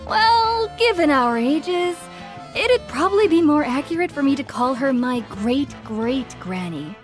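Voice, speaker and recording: A cheerful young tavern hostess with a high, very lively voice. She is playful and polite, in anime game style, with faint music behind her. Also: unhurried. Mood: amused. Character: cheerful, respectful young woman serving tavern customers.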